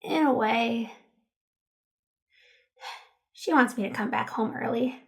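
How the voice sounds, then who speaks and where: A woman's voice: on the high side, slow, vocal fry. An actress playing a sheltered but defiant, tender teenage girl in an audio drama, with an earnest, hesitant manner. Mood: subdued, honest. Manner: hesitant, earnest, stammering when flustered.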